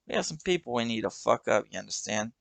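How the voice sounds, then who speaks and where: A man's voice: medium pitch, lively intonation, quick pace, creaky, a bit bright, dry recording. A man voicing a brash young man who leads a gang, talking aggressively and with swagger.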